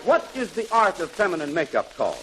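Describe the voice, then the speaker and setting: Jovial, high-voiced radio quizmaster. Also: male voice, wildly swooping pitch, quick pace. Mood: bantering. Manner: jovial, corny, chuckling. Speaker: quiz show host. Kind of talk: 1940s radio quiz show patter. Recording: faintly noisy 1940s radio recording.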